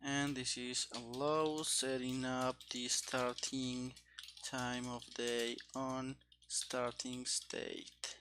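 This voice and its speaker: A man thinking aloud in short, halting phrases during a casual live coding session, as a programmer streaming game development. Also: mid-range voice, noisy background.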